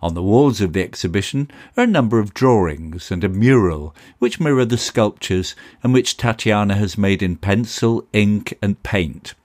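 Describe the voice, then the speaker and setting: A man hosting a podcast, reading a polished script and then interviewing a guest in a measured way. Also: lowish voice, animated, darkish tone. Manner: measured, polished presenter delivery. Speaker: podcast host.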